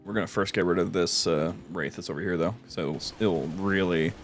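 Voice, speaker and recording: A man hosting a gaming livestream with casual, jokey commentary that runs to excitable exclamations. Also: deep voice, fairly quick, soft background music. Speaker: livestream host playing a game.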